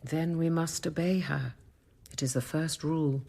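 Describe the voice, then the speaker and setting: A patient convent apothecary in middle age for a radio drama. Her voice sits low and has a husky grain. She guides in a measured, devout, gently instructive manner. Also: fairly even intonation, heavily acted. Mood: gentle, measured.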